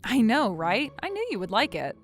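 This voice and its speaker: Woman acting a feisty, animated university girl. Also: a little high, moderate pace. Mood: matter-of-fact. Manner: snappy, indignant, bickering. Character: feisty, stubborn university girl, bickering childhood friend. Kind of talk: manga dub acting.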